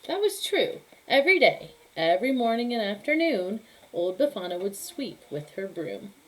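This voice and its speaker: A woman reads a children's story aloud like a teacher with her class. Her delivery is animated and emphatic, shaped for young listeners. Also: medium pitch, moderate pace, poor audio. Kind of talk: expressive children's story reading.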